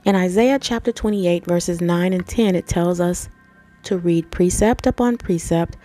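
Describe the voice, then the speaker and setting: A woman's voice: fairly low pitch, a little slow, warm tone, faint music behind. A woman with a stuffy nose from allergies, reading scripture and explaining it to viewers in a casual, conversational way. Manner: conversational, addresses viewers as family and guys. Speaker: Bible teacher and channel host. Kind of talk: scripture reading and informal Bible teaching.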